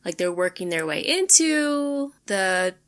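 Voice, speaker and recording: A woman co-hosting a podcast in casual chat, agreeable and quick to laugh. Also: mid-range voice, big pitch swings, a bit bright. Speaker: podcast co-host.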